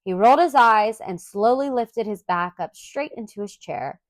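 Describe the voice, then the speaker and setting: A woman reads a children's story aloud in an expressive, clearly enunciated storytelling manner. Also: mid-range voice, normal speed, no room sound. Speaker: storybook reader.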